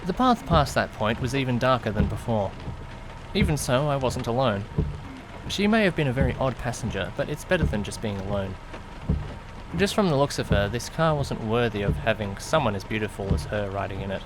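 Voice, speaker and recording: Casting: male actor as awkward young driver narrating his own story, conversational and musing, very lively pitch, clear rain and car noise behind. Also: brisk, close to an everyday voice. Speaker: narrator and lead character. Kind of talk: first-person audio drama narration with acted dialogue. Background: rain and vehicle sounds.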